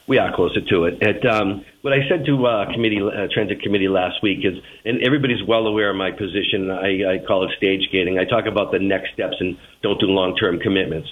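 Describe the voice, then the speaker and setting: A man's voice: low-pitched, brisk, few pauses. A man with a Boston accent answering questions as a transit official in a radio interview, heard over a narrow, tinny phone line. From Boston, United States. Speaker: transit agency general manager, interview guest.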